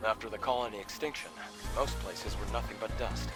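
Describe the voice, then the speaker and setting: A man's voice: fairly low pitch, fairly even intonation, a little fast, lightly acted. An actor playing a helpful, knowledgeable male scavenger companion in a sci-fi video game. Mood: informative. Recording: game dialogue buried under loud music.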